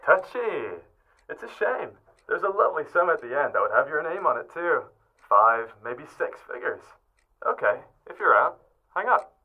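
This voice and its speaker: A man acting in a radio drama as a taunting, persuasive criminal ringleader with a husky voice and theatrical delivery. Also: a little high, very animated, moderate pace, narrow, tinny audio. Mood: tempting, taunting. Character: smooth-talking, menacing male criminal ringleader, needling and bossy.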